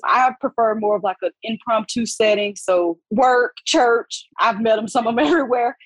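A woman's voice: on the high side, no room sound. A woman in her twenties from Alabama, chatting and joking as a podcast guest. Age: 20s. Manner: chatty, joking, quick asides. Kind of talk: casual chat.

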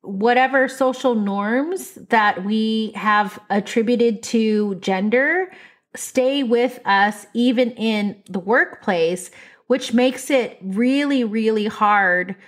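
A woman co-hosting a podcast in casual chat, talking in a bold, expressive, emphatic way. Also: on the high side, slow pace. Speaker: podcast co-host.

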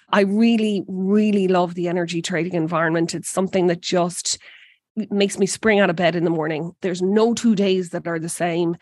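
A woman's voice: on the low side, dry recording. Irish woman, dry close sound. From Ireland. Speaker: energy company president, guest. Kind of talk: interview conversation.

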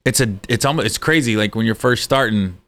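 A man in his forties, a stand-up comedian, telling stories from his career in a casual chat, animated and acting out voices. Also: medium pitch, fairly quick, no echo.

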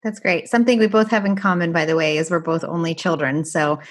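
A woman whose first language is Farsi, raised in France and Canada, co-hosting a podcast in a lively way. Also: lowish voice, a little fast. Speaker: pediatrician podcast co-host. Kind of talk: casual conversational chat.

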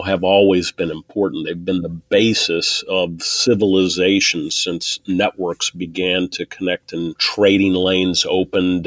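A middle-aged man with a low voice answers interview questions thoughtfully. He often restarts his phrases and repeats words as he thinks aloud. The recording is dry, with no room sound. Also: no echo. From born in Taiwan, raised in an Air Force family. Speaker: guest, technology executive.

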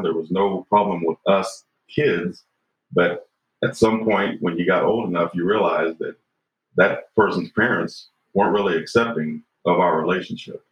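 A man in his fifties, a podcast guest talking earnestly and reflectively, often checking in with "right?". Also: deep voice. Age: 50s. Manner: earnest, reflective, frequent "you know" and "right?". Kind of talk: podcast conversation. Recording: roomy, dull lo-fi sound.

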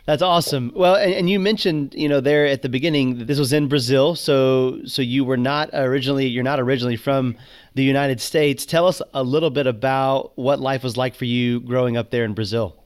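A man's voice: medium pitch, steady tone, fairly quick, smooth. A man hosting a podcast, introducing his guest and asking questions with frequent restarts. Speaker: podcast host. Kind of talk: podcast interview.

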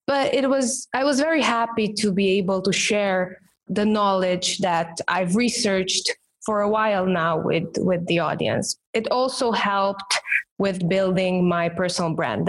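Woman, Romanian first language, interview guest, explanatory, medium pitch. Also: measured pace. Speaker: guest, professional coach and public speaker. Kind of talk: podcast interview answers.

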